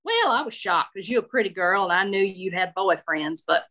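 A woman's voice: medium pitch, animated, moderate pace, sharp tone. A woman storyteller voicing a chatty, nosy seventy-seven-year-old lady who calls everyone darling. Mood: gossipy. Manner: gushing, outspoken, calls everyone darling and honey.

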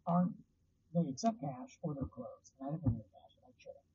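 Husky guy podcaster rambling away in his car, easygoing and a bit self-mocking. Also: high voice, dark tone, no echo, lo-fi sound. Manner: rambling, self-deprecating, chatty. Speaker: podcast host. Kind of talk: casual solo podcast chat. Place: parked car.

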